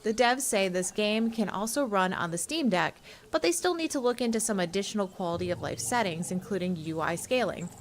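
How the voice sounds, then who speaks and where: A woman's voice: mid-range voice, expressive, fairly quick, few pauses. A woman co-hosting a games show, moving between casual chat and scripted review narration. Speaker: show co-host and game reviewer.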